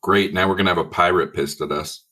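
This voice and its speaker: A man's voice: sits low, steady tone, fast, pushed and bright. A man improvising conversation as a player in a tabletop game.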